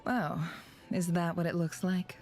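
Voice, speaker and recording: A woman playing a sly, guarded, cynical intelligence operative in a video game, measured, wry and knowing. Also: lowish voice, little pitch movement, a little mellow, soft background music. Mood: wry, resigned. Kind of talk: dramatic video game voice acting.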